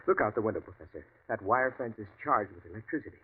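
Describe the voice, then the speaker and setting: An actor playing a skeptical companion who reacts bluntly and with disbelief in an old radio drama. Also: male voice, on the low side, animated, husky. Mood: worried. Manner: blunt, incredulous, quick reactions. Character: skeptical younger male companion, Keith Hopkins. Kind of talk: 1940s radio acting. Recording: tinny old radio broadcast with faint hiss.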